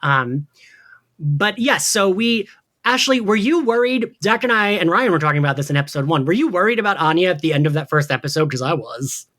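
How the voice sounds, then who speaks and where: A man's voice: high voice, lively intonation, a little fast, fairly bright tone. Chatty male podcast host. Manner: chatty, rambling, peppered with slang and swearing. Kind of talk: casual pop-culture recap chat.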